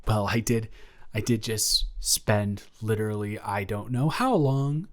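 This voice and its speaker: Man performing young male best friend in love, fairly low voice, nervous, stammering, rambling, improvised romance audio drama. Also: unhurried, close to an everyday voice. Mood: nervous, hopeful.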